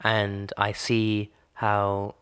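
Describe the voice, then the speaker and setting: A man's voice: lowish voice, completely flat delivery, drawn-out words, a bit bright, clean tone. A man in his thirties thinking aloud in a casual conversation, restarting sentences as he works through his ideas. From Britain. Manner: reflective, thinks aloud with many restarts and fillers. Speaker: podcast co-host.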